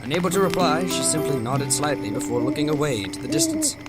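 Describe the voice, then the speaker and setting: A man's voice: fairly high pitch, huge pitch swings, quick pace. A man reading scripted story narration for a visual novel. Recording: loud music behind the voice. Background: music.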